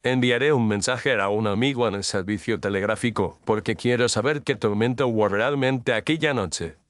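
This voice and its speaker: Man voicing a precise commanding detective, lowish voice, dry close sound. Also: moderate pace, no room sound, close to an everyday voice. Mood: measured. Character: cool, exacting male detective, middle-aged. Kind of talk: radio drama acting.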